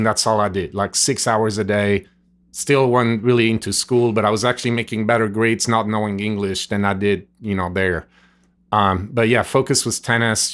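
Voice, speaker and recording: A middle-aged man from Switzerland, a native French speaker with a Swiss French accent, earnestly telling his life story as a podcast guest, rambling with "like" and "you know". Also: on the low side. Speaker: guest, nutrition store owner. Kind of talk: storytelling interview answers. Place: gym.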